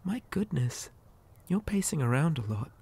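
Creepy living doll boy for ASMR horror male actor: mellow, husky half-whisper, falsely sweet, polite, lonely. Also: fairly high pitch, sing-song, moderate pace, heavily acted. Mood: playfully menacing. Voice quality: soft, breathy, often dropping to a whisper. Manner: gentle, unsettlingly polite, lilting childlike address. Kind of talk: ASMR roleplay.

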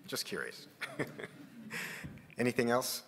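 A man's voice: medium pitch, big pitch swings, takes it slowly, vocal fry, a crowd in the background. A man moderating a press conference from a podium, in a plain, conversational way with many fillers, picked up on a podium microphone in a conference room.

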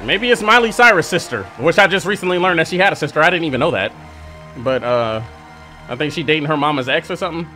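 A man in his thirties, slightly raspy, chatting casually to viewers as he reacts to a TV show at home. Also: a little high, quick pace. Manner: chatty, joking asides to viewers. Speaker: YouTube reaction host. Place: home studio room with plants. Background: loud show music playing.

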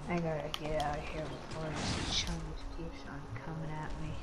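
A woman voicing a goat who calls himself a lightning god, with a slow, drawn-out delivery that drops quiet at times, in an amateur game machinima. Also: sits low, flat delivery, heavy background noise, lightly acted. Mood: defiant. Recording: game sounds behind the voice.

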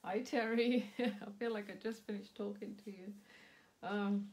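A woman's voice: medium pitch, steady tone, darkish tone. A woman hosting a live craft demonstration, chatty and full of ums, with a slightly sore throat. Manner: slow, chatty, many ums, greets viewers by name. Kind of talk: casual live craft demo. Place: indoor craft table.

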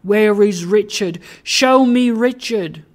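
Bewildered, grieving man for a classic tale, played by a narrator; plaintive moans, insistent, lifted pitch. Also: little pitch movement, extremely slow, darkish tone. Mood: plaintive, desperate. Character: confused, grieving, lonely man searching anxiously. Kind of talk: dramatised audiobook of a classic story.

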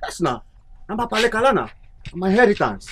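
A man's voice: sits high, fast, a little hiss or hum. An actor playing a boastful young Nigerian street gunman who shows off his old gun, speaking with a Nigerian Pidgin accent. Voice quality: swaggering, lively, emphatic. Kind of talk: comedy skit acting.

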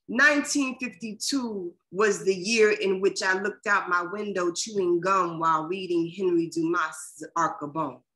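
A middle-aged woman poet reading poems and introducing them casually on a video call, in a measured, deliberate way. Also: lowish voice, a bit bright. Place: small furnished room at home, indoors. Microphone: video call computer microphone.

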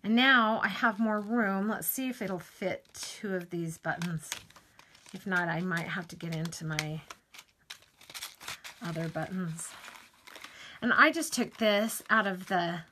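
A middle-aged woman with a hoarse morning voice, chatting casually while demonstrating a craft project. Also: on the low side, sharp tone. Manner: chatty, rambling asides while working. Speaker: crafting tutorial host.

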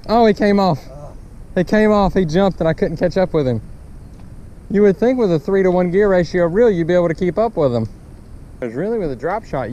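A man's voice: fairly high pitch, warm tone, a little hiss or hum. A man vlogging while out fishing, chatting informally and often repeating short exclamations. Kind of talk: casual chat while fishing. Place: outdoors on a bass boat on a small lake.

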